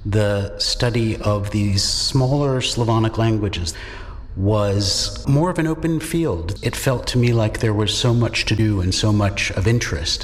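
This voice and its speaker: A man who teaches Czech at a university, answering interview questions in a measured, reflective way with pauses. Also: fairly low pitch, big-room sound, slight background noise. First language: English. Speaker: university lecturer in Czech studies.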